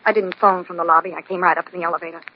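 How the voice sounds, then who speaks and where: An actress playing an anxious, pleading mistress in an old-time radio drama, melodramatic and urgent, in a muffled recording. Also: female voice, fairly low pitch, fairly even intonation, racing, close to an everyday voice. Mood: concerned.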